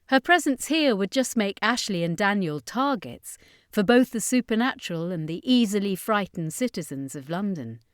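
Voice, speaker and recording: A woman's voice: medium pitch, dry recording, studio quality. A woman reading a scripted fiction story aloud as its narrator.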